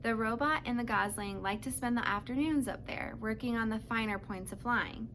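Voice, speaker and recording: A woman's voice: on the high side, normal speed, a bit bright, slight background noise, low-quality recording. A woman narrating a children's book as a read-aloud, telling the story to young listeners. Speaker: storybook reader.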